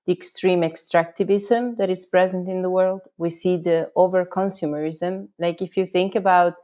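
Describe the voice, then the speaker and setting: A woman's voice: lowish voice, fairly even intonation, normal speed, mellow, dull audio. A woman from Croatia with a Croatian accent, answering conversationally as an interview guest. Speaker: interview guest, urban activism project founder.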